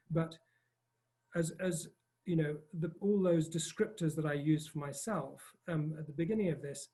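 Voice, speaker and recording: A man from northern Scotland with a posh British accent, speaking on an online panel in a halting, self-deprecating way with many fillers, from outdoors in a field. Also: a little high, mellow.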